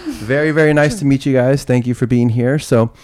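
A man's voice: medium pitch, fairly even intonation, brisk, crowd noise. A man hosting a podcast, asking guests casual questions with lots of laughs and fillers. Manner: laughs often, friendly filler-heavy questions. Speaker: podcast host. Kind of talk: casual interview chat.

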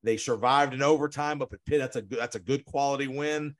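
A man hosting a sports podcast, interviewing guests in an energetic, quick manner, often repeating words. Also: medium pitch.

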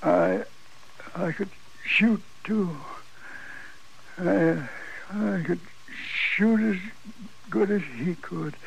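An old radio western gives us a frail, dying gunfighter, his high voice heavy with creak. He is proud and desperate to be believed, pushing out American frontier speech in weak fragments, very slowly. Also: dull audio, heavily acted. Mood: pleading, insistent. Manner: halting, broken, laboured speech. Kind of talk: 1950s American radio drama acting. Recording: old radio recording with faint noise.

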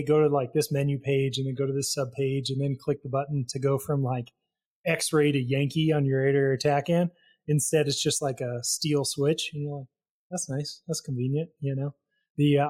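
Male co-host, mid-pitched, easygoing, many likes and you-knows. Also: a little mellow. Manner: loose, filler-heavy explaining. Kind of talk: casual podcast chat.

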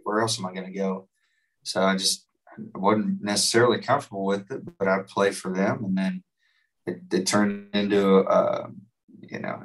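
A man's voice: sits low, fairly bright tone, far from the mic, poor audio. A young man from West Virginia with a West Virginian accent, chatting casually as a musician guest, rambling with lots of likes and you-knows. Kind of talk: casual interview chat.

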